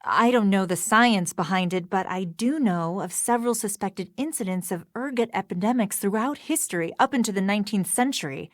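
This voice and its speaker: A woman voicing a knowledgeable, formal expert in a video game, speaking in a measured and precise way. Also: medium pitch, no echo, clean studio sound, close to an everyday voice. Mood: informative, composed. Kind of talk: scripted video game dialogue.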